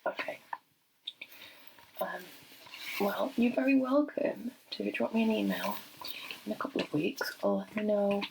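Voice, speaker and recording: A middle-aged woman plays a doctor in a soft-spoken ASMR style. Her voice is breathy, sits at a medium pitch and is heavily creaky, often fading into a whisper. She talks gently and slowly into a close mic, with faint noise in the background. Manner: gentle, unhurried, hesitant pauses. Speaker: ASMR performer role-playing a doctor. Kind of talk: soft-spoken ASMR medical role play. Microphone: close microphone.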